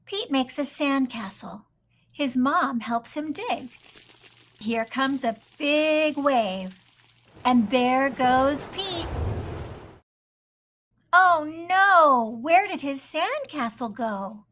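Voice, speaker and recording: Female storybook reader, highish pitch, very slow, expressive, careful enunciation for young children. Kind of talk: children's picture-book read-aloud.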